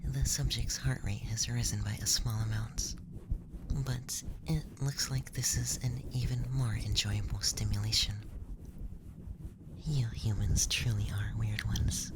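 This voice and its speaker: A man playing a curious young alien examiner in a whispered ASMR roleplay, speaking in measured, scientific phrases close to the microphone. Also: fairly low pitch, background noise, close to an everyday voice.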